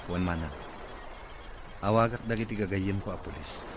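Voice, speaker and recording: An actor playing a kind, protective, wealthy master of a mansion in a radio drama, with an Ilocano accent. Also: male voice, deep voice, moderate pace, natural voice. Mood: protective, firm. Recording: noisy radio drama with ambience.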